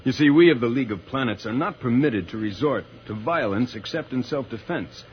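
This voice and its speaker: An actor playing a calm, heroic space commander in an old-time radio serial. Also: male voice, mid-range voice, fairly quick, close to an everyday voice. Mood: calm, explaining. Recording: noisy, muffled vintage radio recording.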